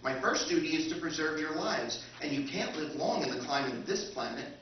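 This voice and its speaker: A man's voice: on the high side, expressive, a little fast, lightly acted. A man playing an unflappable, doting military machine in a live 1950s-style radio play, speaking formally and precisely with solemn earnestness. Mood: formal, concerned. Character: unflappable, polite, doting military machine tending supposed soldiers. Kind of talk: live recreation of 1950s radio science fiction acting. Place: live stage at a convention, indoors. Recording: echoey, distant, dull noisy convention hall.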